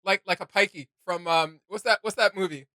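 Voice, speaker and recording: A man's voice: sits high, fairly quick, bright, forceful tone, dry recording, studio quality. A man hosting a casual reaction chat in a jokey, conversational way. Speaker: reaction show host.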